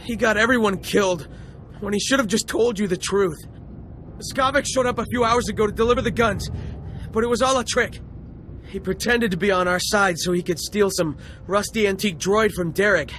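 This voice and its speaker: High-voiced, cowardly rebel soldier. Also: male voice, brisk, fairly bright tone, slight background noise. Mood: nervous, bargaining. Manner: pleading, anxious. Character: cowardly, pleading male rebel soldier, desperate to survive. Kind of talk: video game voice acting.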